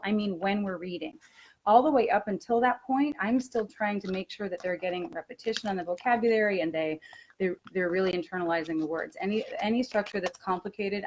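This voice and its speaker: A woman who teaches high school, co-presenting a webinar in an explanatory teacher-training style. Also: a little hiss or hum, rough recording.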